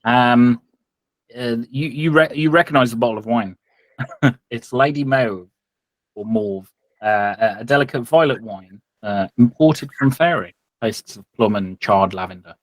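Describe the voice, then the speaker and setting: A man's voice: medium pitch, a little slow, dry recording. A man narrating a tabletop game as game master, improvising descriptions with a teasing touch.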